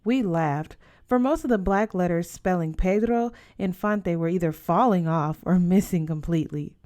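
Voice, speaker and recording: A woman's voice: fairly low pitch, a little mellow. A woman reading a children's novel aloud as scripted narration. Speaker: audiobook reader.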